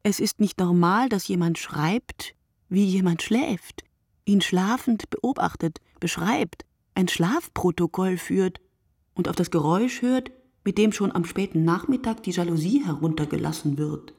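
A woman playing a brooding, solitary writer in a radio play monologue, speaking deliberately and poetically, often dropping to a breathy hush. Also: on the low side, no room sound, clean studio sound. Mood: measured, brooding. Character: wakeful solitary night writer, a woman, brooding and word-obsessed.

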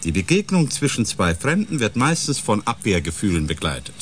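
Animated, explaining male ship psychologist. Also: on the low side, fairly quick, slight background noise. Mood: placating, earnest. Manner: explanatory, conciliatory lecturing. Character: calm, balancing male ship psychologist and biologist. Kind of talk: radio drama acting.

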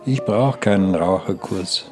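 A man's voice: low-pitched, a little slow, music in the background. A man playing a self-assured smoker who defensively insists on his health in a mock documentary. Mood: defiant, proud. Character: stubborn, self-assured male smoker in denial.

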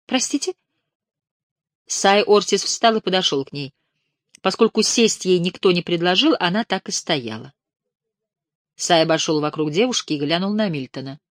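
A woman reads an audiobook in a measured, expressive way. Her voice sits on the low side and is captured close on a studio mic. The sound is completely dry, with no room at all. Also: no echo. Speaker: audiobook narrator. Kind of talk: scripted audiobook narration.

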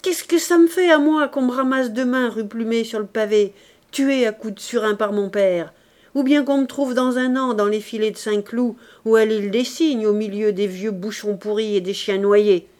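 A woman voicing a fierce, defiant young woman in rags who taunts and shouts down a gang of men. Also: fairly high pitch, normal speed, close to an everyday voice.

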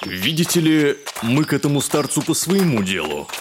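An actor playing a pompous, fussy educated gentleman in an audio drama. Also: fairly high pitch, sing-song, normal speed, noisy background. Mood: stiffly polite. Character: haughty, irritable educated liberal gentleman landowner.

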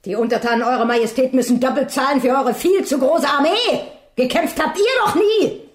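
An actress playing a haughty, sharp-tongued queen and mother in a German radio play, cutting and formal. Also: high voice, brisk, lightly acted. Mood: accusing. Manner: sardonic, cutting, formal courtly address.

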